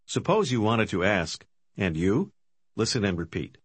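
A man's voice: lowish voice, sing-song, no echo. A man giving scripted instructions as the narrator of a language course. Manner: clear, measured prompting. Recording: dry studio.

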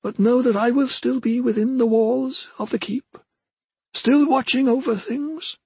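A man voicing a solemn old ghostly mentor in an audiobook, with a thin, strained voice and slow, formal, drawn-out phrasing. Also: very high voice, steady tone, a little mellow, breathy edge, dry recording, pitched above the performer's own voice, exaggerated voice. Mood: solemn farewell. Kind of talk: audiobook character acting.

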